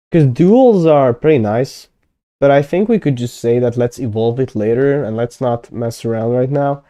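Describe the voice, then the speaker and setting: A young man whose first language is Hungarian chats on a live stream in a mellow, warm tone. The recording is dry and close, with no room sound. He thinks aloud, trails off with fillers and corrects himself often. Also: medium pitch, no echo. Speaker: conlang streamer. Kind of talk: casual live-stream chat.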